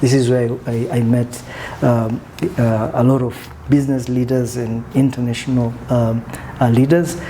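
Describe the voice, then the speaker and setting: Soft-spoken man, mellow voice. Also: mid-range voice, level tone, moderate pace, hardly any pauses, a little hiss or hum. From Tanzania. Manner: soft-spoken, reflective, with frequent fillers. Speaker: guest, country manager. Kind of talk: conversational interview.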